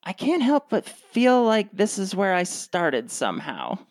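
A wry teen girl acted by a woman in a radio comedy, self-aware and protesting. Her lively, mid-pitched voice is close and dry. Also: measured pace, dry recording. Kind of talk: comic radio play acting.

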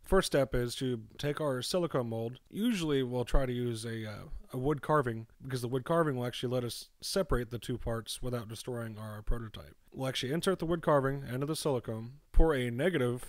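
A man's voice: mid-range voice, a little fast, hardly any pauses, no echo, clean studio sound. A young man who makes fishing lures explains mold making step by step in a voice-over, often saying "actually". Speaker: lure maker. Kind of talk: demonstration voice-over.

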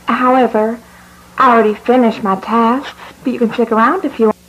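A sweet female cartoon animal played by a woman, innocent and cheerful. She speaks in a high, dainty, gushing voice over clear tape-like noise. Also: steady tone, a little fast, close to an everyday voice, low-quality recording. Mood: sweet, delighted. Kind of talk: cartoon voice acting.

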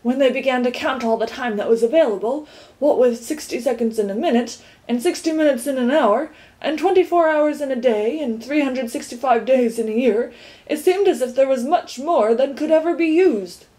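A woman's voice: a little high, lively intonation, normal speed, warm tone, close to an everyday voice. A woman voicing an earnest male watchdog who declaims grandly and gets carried away. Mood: proud. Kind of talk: audiobook character voice.

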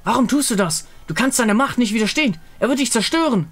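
A man's voice: very high voice, lively intonation, fast talker, a bit bright, voice pushed up, heavily acted. A man voicing an earnest, determined female warrior in a fantasy game, firm and urgent. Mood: pleading.

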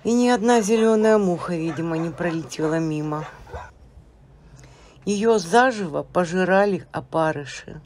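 A woman's voice: on the low side, normal speed, darkish tone, slight background noise. A woman reading a grave voice-over narration about a rescued dog.

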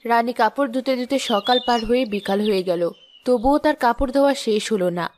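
Brisk woman narrating a cartoon story. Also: fairly high pitch, noisy background. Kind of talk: scripted children's story narration.

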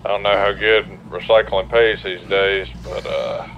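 A man's voice: low-pitched, flat delivery, measured pace, fairly bright tone. A man playing a police marshal in an online roleplay, talking casually over the radio. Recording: muffled lo-fi radio, faint noise.

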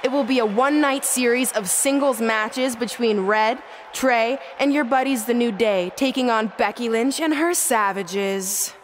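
Game cutscene general manager woman acting: imperious, emphatic, declarative, slightly high, light crowd ambience behind. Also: unhurried, slight background noise, lightly acted. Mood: commanding. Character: self-assured, imperious female wrestling show boss. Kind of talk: video game acting, arena announcement on microphone.

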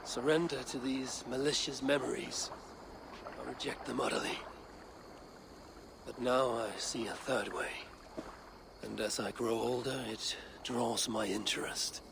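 Gravelly, solemn Viking warrior. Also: male voice, medium pitch, steady tone. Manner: solemn, deliberate, measured. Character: grave, weary Viking warrior leader in middle age. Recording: game cutscene with noisy waterside ambience.

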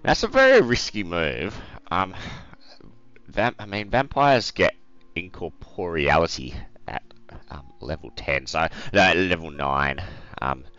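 Croaky low-voiced man thinking aloud. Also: sing-song, measured pace, fairly bright tone, no room sound, muffled recording. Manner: rambling, thinking aloud with many ums. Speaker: let's play host. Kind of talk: casual game commentary.

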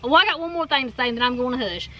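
A woman in her forties with a Southern US accent, telling stories in an animated, chatty way to viewers outdoors in a golf cart. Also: high voice, rapid-fire. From North Carolina. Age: 40s. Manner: animated storyteller, addresses viewers as y'all. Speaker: co-host. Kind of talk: casual storytelling chat. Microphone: fixed camera in front.

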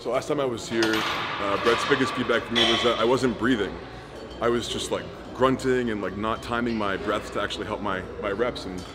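A man's voice: lowish voice, steady tone, a little fast. A young man vlogging his gym session in a large indoor gym, upbeat and agreeable. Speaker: vlogger, amateur bodybuilder. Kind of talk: casual vlog chat. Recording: gym ambience noise behind.

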